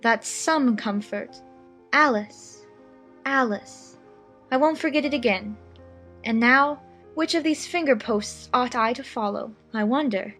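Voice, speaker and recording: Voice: mid-range voice, slow, a bit bright, faint music behind, pitched above the performer's own voice. A narrator voicing a curious, chatty little girl who muses to herself in a children's audiobook. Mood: resolved, musing. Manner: musing aloud to herself.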